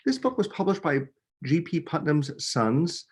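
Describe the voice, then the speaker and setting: A man, a children's book author, reading a picture book aloud with expressive, dramatic emphasis. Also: a little high, unhurried. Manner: expressive, dramatic delivery with emphasis on key words.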